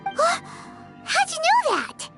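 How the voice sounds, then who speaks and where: Squeaky, very high little fairy companion. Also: female voice, huge pitch swings, takes it slowly, creaky, full character voice. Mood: surprised. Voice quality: squeaky, childlike. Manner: refers to self in third person, exclaiming. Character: tiny childlike female floating fairy companion, chirpy and excitable. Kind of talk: video game character acting. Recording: game music behind the voice.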